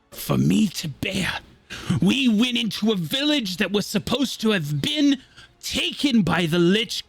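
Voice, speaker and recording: A man playing a bitter, theatrical villain in a tabletop roleplay, delivering grandiose, deliberate, impassioned speeches. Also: sits high, creaky, fairly bright tone, dry recording, exaggerated voice. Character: bitter, theatrical villain justifying his fall from hero. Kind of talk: dramatic in-character monologue in a tabletop roleplay.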